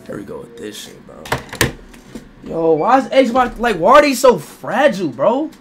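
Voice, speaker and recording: A young man livestreaming a game, excitable and loud, talking straight to his chat and repeating himself. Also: high voice, moderate pace, breathy edge, soft background music. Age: young adult. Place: bedroom streaming setup, indoors.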